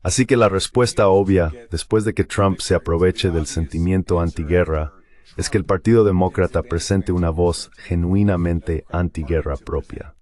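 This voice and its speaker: A man delivering a dubbed political panel commentary as a voice-over, arguing in a measured, articulate way. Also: low voice, steady tone, a little mellow.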